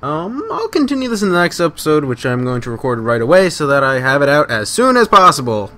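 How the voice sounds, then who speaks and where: A man's voice: a little high, very animated, fast talker, sharp tone. A man giving casual gameplay commentary, muttering to himself, repeating phrases and swearing. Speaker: gamer recording a let's play.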